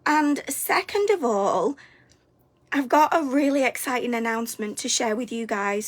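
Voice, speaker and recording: A woman, hosting a live stream, a little hoarse and congested from a cold, chatty and upbeat, sometimes stumbling over her words. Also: sits high. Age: 40s. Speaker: host of a weekly live stream. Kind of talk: live stream chat to viewers.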